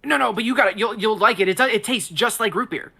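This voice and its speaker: Man, podcast co-host, bright voice, relaxed storytelling. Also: high-pitched, fast talker, creaky, slightly rough. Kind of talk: casual storytelling.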